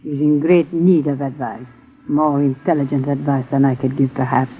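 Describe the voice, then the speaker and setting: An actor playing a guarded, cryptic spiritual advisor, formal and measured, in a 1950s radio drama. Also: male voice, mid-range voice, level tone, narrow, tinny audio, natural voice. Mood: solemn, guarded. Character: guarded, solemn, cryptic fortune-teller-like advisor Madam Star. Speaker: advice-giver in a radio drama. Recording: thin vintage radio recording, faint noise.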